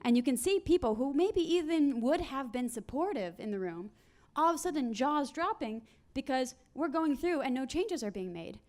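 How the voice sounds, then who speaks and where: A woman speaks on a conference panel in a fairly high voice. She tells her stories briskly and acts out the voices of the people in them. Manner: animated storyteller acting out quoted people.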